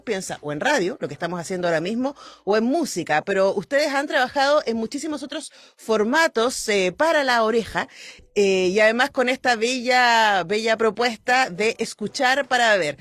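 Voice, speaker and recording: A woman's voice: a little high, a bit bright, dry recording. A middle-aged woman with a Chilean accent hosting a live radio interview. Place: radio broadcast.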